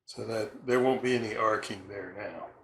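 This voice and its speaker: A man giving a casual hands-on repair demonstration, thinking aloud in a halting way as he works. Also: on the low side, fairly bright tone. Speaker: radio repair hobbyist and narrator. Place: indoors at a repair bench. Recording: roomy echo, background noise, small clicks.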